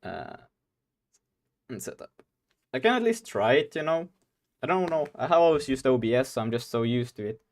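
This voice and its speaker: Young guy rambling to his stream chat, laughs a lot, mid-pitched voice. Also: big pitch swings, moderate pace, pauses often. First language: Swedish. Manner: giggly, rambling, talks to chat. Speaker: game dev streamer. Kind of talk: casual live-stream chat.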